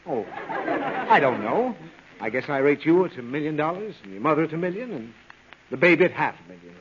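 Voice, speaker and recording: A man's voice: fairly high pitch, very animated, a little fast. An actor playing an exasperated, headachy father, groaning and pleading in 1930s radio comedy style. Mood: earnest lecturing. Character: exasperated, suffering, headachy father of little girl. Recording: muffled, hissy old 1930s radio broadcast.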